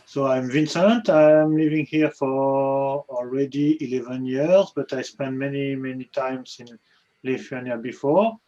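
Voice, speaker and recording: A man's voice: mid-range voice, fairly even intonation, measured pace, mellow, muffled recording. A man in his forties introducing himself plainly on a video call. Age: 40s. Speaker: discussion participant. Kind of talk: casual self-introduction.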